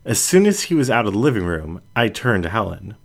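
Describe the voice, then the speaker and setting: A man's voice: lowish voice, big pitch swings, fairly quick, creaky. A man reading a scripted first-person story aloud as an audiobook narrator.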